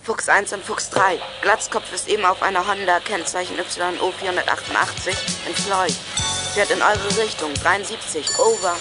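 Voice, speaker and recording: An actor playing an eager young scout of a detective gang, reporting briskly in a German children's radio play. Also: mid-range voice, fairly even intonation, over music, natural voice. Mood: alert. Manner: brisk, reporting over walkie-talkie.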